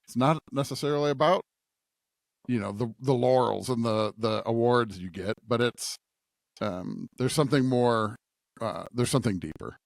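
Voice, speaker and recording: A man co-hosting a religious podcast, talking reflectively and haltingly as he searches for his words. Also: mid-range voice, vocal fry, dry recording, studio quality. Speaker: podcast co-host. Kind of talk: conversational religious discussion.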